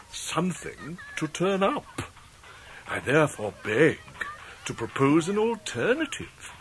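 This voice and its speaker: Radio drama: pompous middle-aged English gent fallen on hard times, florid, deliberate, very animated. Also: male voice, mid-range voice, a little hiss or hum. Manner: orotund, theatrical, deliberate phrasing. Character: pompous, grandiloquent, genteel debt-ridden middle-aged gentleman. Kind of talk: 1990s radio drama acting.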